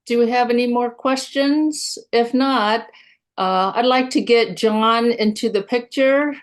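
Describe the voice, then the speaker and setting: A woman's voice: a little high, measured pace. A woman moderating a seminar, asking questions in a conversational way with some hesitant starts. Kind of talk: casual questions.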